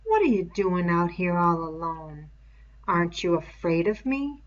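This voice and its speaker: A woman voicing a menacing, fly-covered pig's head that taunts a boy slowly and mockingly like a stern schoolmaster, on a dull lo-fi recording. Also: fairly low pitch, sing-song.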